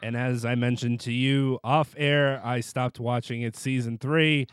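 A man hosting a casual interview podcast, friendly, asking his questions slowly and deliberately. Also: mid-range voice, steady tone, smooth, dry recording, studio quality. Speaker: podcast host.

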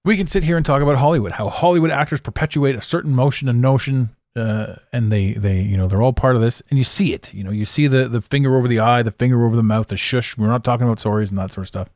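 Guy doing a solo podcast rant, loud then quiet, stumbles and repeats himself, no room sound at all. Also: mid-range voice, fast, hardly any pauses, no room sound, clean studio sound. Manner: intense, repeats words, swings between loud and quiet. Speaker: podcast host.

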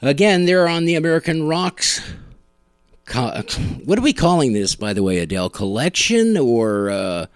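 A man's voice: mid-range voice, very animated, clear, resonant tone, dry recording. Wry middle-aged man hosting, dry close sound. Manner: wry, self-deprecating, halting. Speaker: podcast host and musician. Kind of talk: casual music show hosting.

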